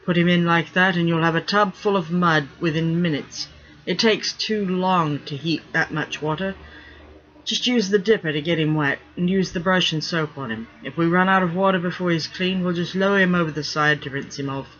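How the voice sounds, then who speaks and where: A man's voice: fairly high pitch, moderate pace, a bit bright, close to an everyday voice. A man voicing a bossy, wry pirate mate with blunt, commanding sailor talk. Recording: dull lo-fi recording.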